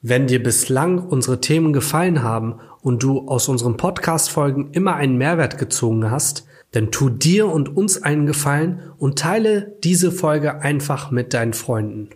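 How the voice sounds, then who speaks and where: A man's voice: mid-range voice, expressive, normal speed, few pauses, a little mellow. A man hosting a podcast, introducing a guest and asking questions with short upbeat affirmations. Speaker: podcast host. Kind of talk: podcast interview.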